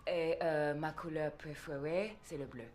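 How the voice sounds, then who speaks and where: A woman's voice: sits low, steady tone, a little mellow, slight background noise. A woman dubbing a hesitant adult student in a French film dub. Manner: hesitant, halting. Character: hesitant woman student, speaks of her mother.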